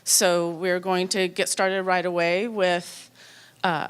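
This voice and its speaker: Smooth-voiced woman opening a forum. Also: on the low side, level tone, slow pace. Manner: friendly, a bit scattered, laughs at her own slip. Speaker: forum host introducing speakers.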